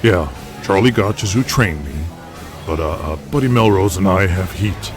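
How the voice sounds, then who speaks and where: A man playing a calm, good-natured veteran pro-wrestler in an audio drama, speaking in a measured, conversational way. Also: low voice. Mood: candid. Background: music.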